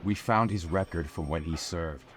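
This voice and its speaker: A man playing a gruff, urgent police detective in an audio drama, with blunt delivery. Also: sits low, moderate pace, soft background music, natural voice.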